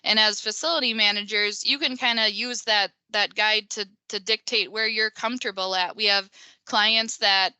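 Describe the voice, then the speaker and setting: A woman in her thirties with a Minnesota accent talks through a webinar over a video call. Her voice is very bright and crisp with a dry, close sound. She speaks in an even, flat tone, full of ums and restarts. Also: mid-range voice, normal speed, no echo. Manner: conversational, many fillers and restarts. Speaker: pavement engineer presenter. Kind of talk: webinar presentation.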